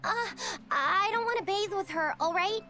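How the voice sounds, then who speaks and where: A woman's voice: very high-pitched, normal speed, pushed and bright, faint music behind. A woman voicing an earnest, innocent young boy, shy and curious, in a video game. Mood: flustered.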